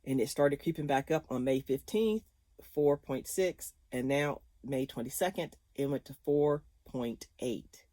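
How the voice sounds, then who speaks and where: A woman's voice: very deep voice, unhurried, dark tone, no room sound. Chatty laughing woman in her fifties. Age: 50s. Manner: chatty and rambling, laughs often. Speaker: vlogger. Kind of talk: casual chat to viewers.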